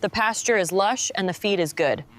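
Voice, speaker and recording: A woman's voice: medium pitch, moderate pace, lightly acted. A woman playing a diligent factory inspector reporting checks in scripted workplace dialogue, with factory noise behind her. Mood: businesslike. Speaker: factory worker.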